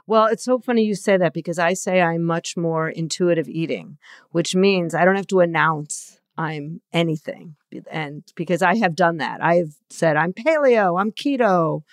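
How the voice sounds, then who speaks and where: A woman's voice: on the low side, normal speed, no room sound, studio quality. A woman hosting a podcast interview who chats openly and jokes about herself. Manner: joking, open, chatty. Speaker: podcast host. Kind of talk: casual interview chat.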